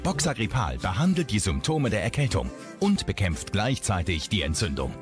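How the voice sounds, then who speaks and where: A man's voice: fairly low pitch, wildly swooping pitch, moderate pace, music in the background. A man reading a polished, punchy scripted commercial voice-over as an announcer. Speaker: advertising announcer.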